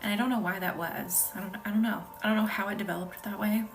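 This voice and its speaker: A woman from the American South leads a live-streamed reiki session, speaking softly and slipping into a breathy half-whisper. She answers viewers with hesitant, filler-laden chat. She sits back from the phone mic, with clear music playing behind her. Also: medium pitch, steady tone, fast, distant mic. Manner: soft, often whispery, hesitant with many fillers.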